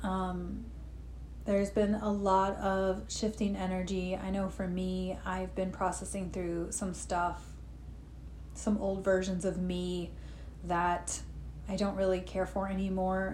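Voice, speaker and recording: A woman guiding a relaxed live meditation session, gently talking, with personal asides, sniffly from allergies. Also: lowish voice, unhurried. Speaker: meditation guide. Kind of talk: guided meditation talk. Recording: echoey room with faint noise.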